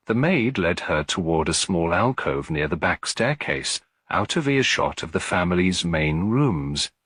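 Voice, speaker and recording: A man's voice: deep voice, sing-song, a little slow, a bit bright, breathy edge, no echo. A man reading a scripted detective story as an audiobook narrator.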